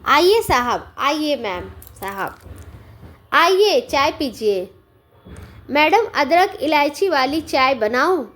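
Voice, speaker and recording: A woman's voice: high-pitched, very animated, slow pace, fairly bright tone, full character voice. A woman storyteller voicing a cheerful, self-reliant old tea seller, plain-spoken and deferential. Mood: cheerful, welcoming.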